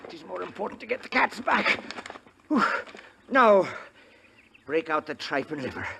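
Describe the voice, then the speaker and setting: An actor playing a cantankerous old Irish wagon driver, dramatic and blustery, in a 1950s radio western. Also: male voice, high-pitched, big pitch swings, moderate pace, creaky, pushed and bright, slight background noise, full character voice. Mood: grumbling, hurt. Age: older.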